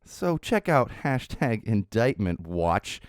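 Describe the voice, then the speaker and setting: A middle-aged man hosting a solo podcast, his voice slightly raspy while it recovers, chatting in a rambling way with lots of uhs. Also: fairly low pitch, very animated, takes it slowly, no echo, studio quality. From Southern California. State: recovering from lost voice. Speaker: podcast host.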